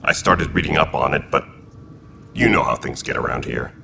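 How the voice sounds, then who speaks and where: A deep, gravelly militia commander in a sci-fi game, blunt and steady, over game noise. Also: male voice, normal speed, full character voice. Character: male Turian militia commander, old military family.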